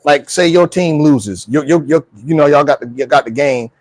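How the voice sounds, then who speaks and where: A man's voice: a little high, normal speed, a little mellow, no echo. A man co-hosting a casual panel talk, informal and emphatic, fond of throwing out rhetorical questions. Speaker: talk show co-host. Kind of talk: casual, animated panel chat.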